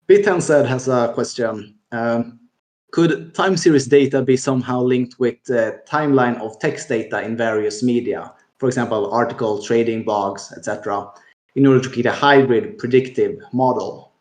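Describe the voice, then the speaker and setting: A man's voice: mid-range voice, normal speed, a little mellow, roomy sound. A man hosting a casual online interview, asking his guest questions and reading questions from the audience. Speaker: host.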